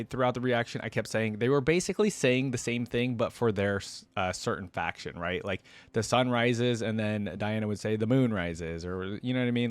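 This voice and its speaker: Man, medium pitch, casual reaction host, unscripted rambling chat, conversational, dry room-free sound. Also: dry recording.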